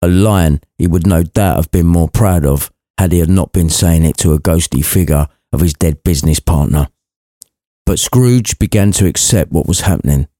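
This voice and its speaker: A man reading a scripted comic story with a dry, wry, measured delivery. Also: low-pitched, warm tone. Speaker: storyteller, podcast reader. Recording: long reverberant hall echo.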